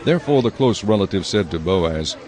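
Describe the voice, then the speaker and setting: Guy narrating scripture, lowish voice, measured and solemn. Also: expressive, darkish tone.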